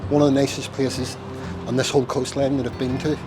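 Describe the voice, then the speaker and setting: A man's voice: medium pitch, flat delivery, a little fast. An Irish man walking through old town streets outdoors and chatting casually to the camera about what he sees, with a chesty, tickly cough. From Ireland. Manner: chatty, rambling, self-deprecating asides. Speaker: travel vlogger. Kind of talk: casual walking-tour commentary. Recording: music bed under the voice.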